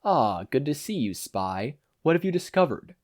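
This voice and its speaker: A man's voice: fairly high pitch, very animated, normal speed, darkish tone. An imperious male villain from a superhero story , put on by a male narrator. He is curt and sinister, and speaks as one used to being obeyed. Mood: commanding.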